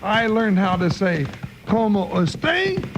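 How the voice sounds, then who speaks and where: An American man playing a comic, excitable folksy cowboy sidekick with an exclamatory drawl in an old-time radio western. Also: high voice, takes it slowly, exaggerated voice. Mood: grumbling, wistful. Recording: noisy old radio recording.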